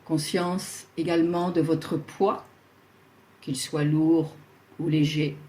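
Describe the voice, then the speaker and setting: A woman leading a guided yoga relaxation, speaking soothingly and leaving long pauses between short instructions. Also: low voice, flat delivery, warm tone, poor audio.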